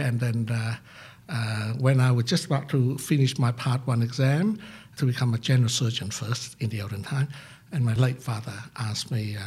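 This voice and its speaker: Older man, reflective and halting, rough husky sound, mid pitch. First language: Vietnamese. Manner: halting, many fillers, laughs at himself. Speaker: psychiatrist and senior lecturer. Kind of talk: interview answers, reflective.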